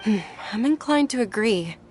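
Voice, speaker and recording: A woman playing a gentle, caring young noble lady in a video game, speaking politely and formally. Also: on the high side, expressive, normal speed, a little hiss or hum, lightly acted. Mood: gentle concern.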